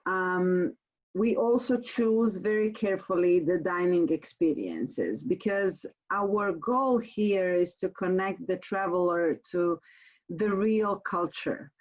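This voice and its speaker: A woman from Croatia with a Croatian accent gives a webinar sales presentation as a tour company presenter, speaking haltingly with frequent pauses. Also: fairly low pitch, animated. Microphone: online webinar call. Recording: muffled, band-limited webinar call audio.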